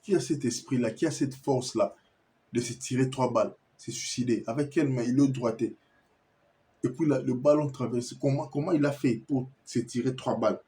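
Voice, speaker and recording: A man's voice: mid-range voice, moderate pace, mellow. A man with a Congolese French accent giving an impassioned, insistent monologue to viewers, repeating himself and stammering as he searches for words. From Kinshasa, Congo. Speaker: commentator addressing viewers.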